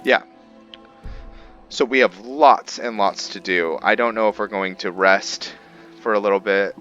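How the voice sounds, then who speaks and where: A man's voice: fairly low pitch, unhurried, fairly bright tone, muffled recording, soft background music. A man casually commenting while he plays a video game, rambling and thinking aloud with many ums. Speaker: gaming video creator. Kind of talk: casual let's-play commentary.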